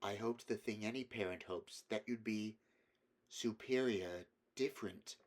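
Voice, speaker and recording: A man voicing a calm, gentle mother who speaks soothingly and sometimes cries out in alarm, in an audiobook reading. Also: on the low side, a little slow, lightly acted.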